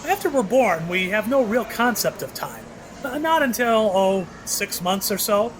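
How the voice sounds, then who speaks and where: A man's voice: sits high, lively intonation, measured pace, a little hiss or hum. A man playing a mysterious, ageless shopkeeper in an audio drama, knowing and slyly wry, dropping cryptic, teasing hints. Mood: amused, explaining. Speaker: actor in an audio play.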